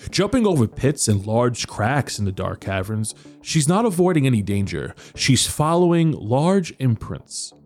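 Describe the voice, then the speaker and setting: A man who is the dungeon master of a tabletop game, wrapping up the session with plenty of laughter and then narrating short fantasy stories about supporters. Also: medium pitch. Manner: laughs often, animated.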